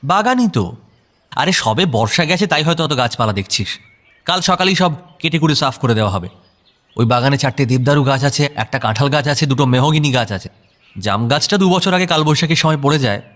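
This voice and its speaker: A man's voice: mid-range voice, expressive, fairly quick, fairly bright tone, natural voice. An actor playing a breezy, boastful young man in a casual, slangy audio drama. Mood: explanatory. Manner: slangy, offhand. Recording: music bed under voice.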